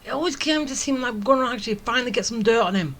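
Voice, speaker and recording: A woman's voice: a little high, lively intonation, very fast. A woman giving casual commentary as she plays through a video game. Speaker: gaming streamer. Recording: game music behind the voice.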